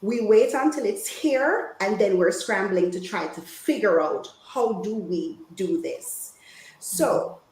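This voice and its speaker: A woman's voice: medium pitch, animated, slow pace, darkish tone. Woman speaking in an echoey room. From Jamaica. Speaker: guest women's health speaker. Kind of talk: informal health talk to an online audience.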